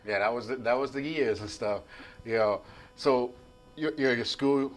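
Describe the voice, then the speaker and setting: A man's voice: mid-range voice, normal speed, over music. An easygoing man hosting a sit-down interview. He keeps his questions casual and laughs easily between them. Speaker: show host.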